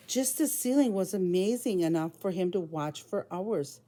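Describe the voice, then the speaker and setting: A woman with a slightly low, soft-edged and mellow voice reads a storybook to young children. She is a preschool teacher, and she phrases things simply and deliberately, in short chunks that small listeners can follow.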